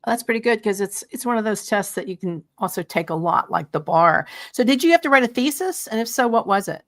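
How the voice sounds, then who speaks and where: A woman's voice: mid-range voice, lively intonation, brisk, fairly bright tone. A woman speaks as an interview host. Her delivery is chatty, friendly and informal. The sound is dry, with hardly any room echo around her.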